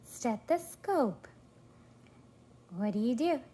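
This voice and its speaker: A woman reading a storybook aloud to a toddler in an encouraging, sing-song way, pausing to prompt and praise. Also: a little high, expressive, mellow. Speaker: parent reading aloud to a young child.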